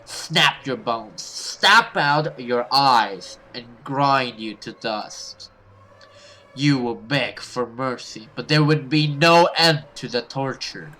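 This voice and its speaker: Man voicing a venomous, gloating demon woman, slow-paced. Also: mid-range voice, pushed and bright, poor audio. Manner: menacing, contemptuous, slow deliberate threats. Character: venomous, gloating female demon threatening torture. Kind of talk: horror visual novel read-aloud.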